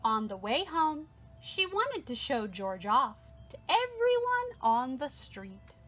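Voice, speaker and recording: A woman hosting storytime, reading a picture book aloud to children in an expressive way, drawing out repeated words. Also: sits high, soft background music. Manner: expressive, drawn-out repetitions. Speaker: storytime host.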